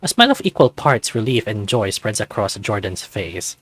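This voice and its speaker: A man reading a visual novel aloud as an animated narrator. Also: fairly low pitch, normal speed, no echo.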